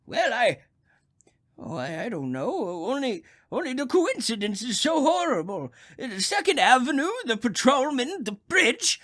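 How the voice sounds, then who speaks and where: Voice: medium pitch, sing-song, measured pace, a bit bright. An actor playing a crotchety, nervous old male invalid who stammers insistently on the telephone, in old-time radio suspense style. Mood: shaken.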